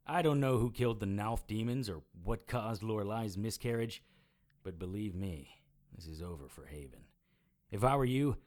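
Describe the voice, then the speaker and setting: A man's voice: lowish voice, darkish tone, lightly acted. A man playing a wry, weary supernatural private investigator in an audio drama, with a dry, sardonic manner. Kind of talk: audio drama acting with first-person noir narration.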